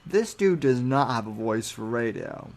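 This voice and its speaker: Young male podcast host, slow halting delivery, mid-pitched, casual livestream chatter. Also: animated, soft background music. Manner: halting, many restarts and fillers; defensive, mocking chat critics. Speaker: podcast host reading live chat.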